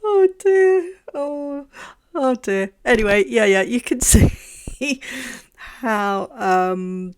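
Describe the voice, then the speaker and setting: A woman's voice: a little high, expressive, very slow, long pauses, a little mellow. A woman with a congested voice from a heavy cold, chatting casually about her crafting and stumbling over her words. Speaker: craft vlogger. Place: home craft table.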